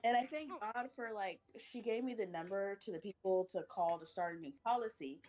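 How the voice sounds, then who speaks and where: Woman streamer, animated storyteller, pitches her voice up from a deeper natural tone. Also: a little fast. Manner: animated venting, acts out quoted voices. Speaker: stream co-host. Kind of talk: casual chat. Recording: music playing behind.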